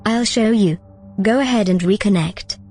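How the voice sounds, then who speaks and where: A woman's voice: medium pitch, moderate pace, a little mellow, close to an everyday voice. A woman playing a bold, persuasive artificial consciousness in an audio drama, confident and conversational. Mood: determined, calculating. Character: bold, persuasive female artificial consciousness plotting her escape. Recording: music under the dialogue.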